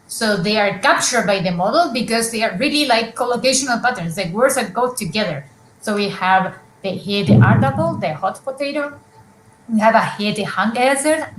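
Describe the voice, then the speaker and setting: A woman's voice: fairly high pitch, a bit bright, reverberant, slight background noise, poor audio. A woman presenting research at an academic conference, explaining examples step by step with frequent fillers and restarts over a video call.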